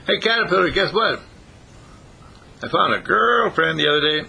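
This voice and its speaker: An excitable storybook rabbit, acted out by a man, pitched up high with swooping intonation. He is cheeky, chummy and quick to doubt, exclaiming in a bright, forceful voice. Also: vocal fry, slightly rough, exaggerated voice. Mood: chummy, eager.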